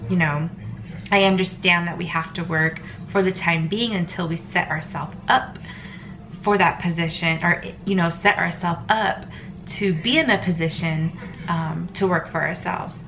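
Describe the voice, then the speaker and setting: A woman's voice: fairly low pitch, fairly even intonation, normal speed, a bit bright, soft background music. Stuffy-voiced woman vlogging earnestly. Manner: earnest, rambling, heartfelt, many ums. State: stuffy head cold. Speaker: family vlogger. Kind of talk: casual personal vlog monologue.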